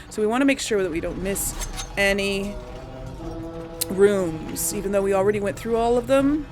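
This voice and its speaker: A woman with a creaky, medium-pitched voice chats through her gameplay. She thinks aloud casually to her audience, with game music clearly behind her. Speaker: let's player.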